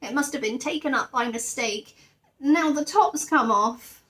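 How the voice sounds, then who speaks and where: A woman voicing a polite, earnest, excitable young male bear in a children's storybook reading. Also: high-pitched, measured pace, voice pushed up. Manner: eager, exclaiming.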